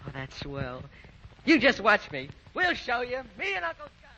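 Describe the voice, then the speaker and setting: High-voiced nervous American boy jockey. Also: huge pitch swings, normal speed, pushed and bright, lo-fi sound, full character voice. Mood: hopeful. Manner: hesitant, stammering, naive. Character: earnest, nervous boy jockey, devoted younger brother. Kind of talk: 1940s radio drama acting. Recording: noisy old 1940 radio broadcast.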